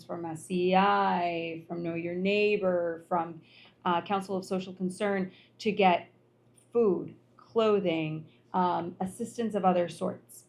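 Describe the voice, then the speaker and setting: A woman's voice: on the low side, a little mellow. Earnest woman explaining on a panel. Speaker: community panelist. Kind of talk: panel discussion on local access TV.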